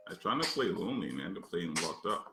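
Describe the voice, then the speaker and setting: A man's voice: deep voice, very animated, racing, over loud music. A man from Manchester co-hosting a casual livestream chat, joking and crude in a laid-back way. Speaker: co-host. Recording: echoey room.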